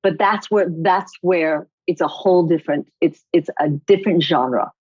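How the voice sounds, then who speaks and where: A middle-aged woman with a lowish voice tells her story in a relaxed interview, lively and expressive, breaking into laughter often. The audio is dry and slightly muffled, like a remote call. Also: normal speed, breathy edge, no echo. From United States, Rhode Island. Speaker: guest choreographer. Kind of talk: casual interview conversation.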